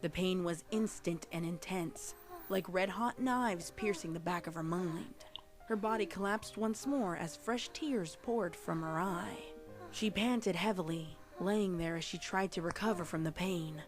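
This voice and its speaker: Female story narrator, lowish voice, dramatic scripted prose, music bed beneath. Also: measured pace. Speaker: audiobook-style story narrator. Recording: music playing under the narration.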